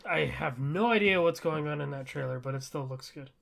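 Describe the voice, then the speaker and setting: Creaky, higher-pitched man chatting. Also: brisk, a bit bright. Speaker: podcast co-host. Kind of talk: casual pop culture podcast chat.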